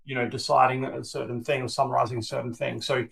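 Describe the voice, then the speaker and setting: A man's voice: medium pitch, level tone, quick pace, vocal fry, fairly bright tone. A man speaking as a webinar panelist, thoughtful and hedging with fillers as he explains. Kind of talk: business webinar discussion.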